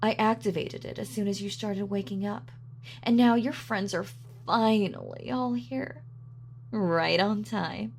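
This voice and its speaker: A woman's voice: medium pitch, a little slow, gravelly, a little hiss or hum. A woman playing a gloating, sadistic supervillain in an audio roleplay, with a mock-sweet, sardonic, taunting manner. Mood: smug, mock pity. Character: gloating female supervillain, sadistic, darkly playful. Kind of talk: villain monologue in audio roleplay.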